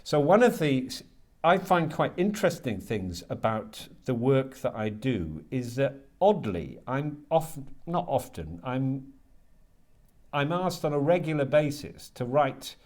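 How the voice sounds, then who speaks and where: Halting, thoughtful man. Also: medium pitch, big pitch swings, a little mellow. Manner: halting, thoughtful, repeats words while working out ideas. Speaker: guest, creative writing lecturer and writer. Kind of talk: conversational interview answers.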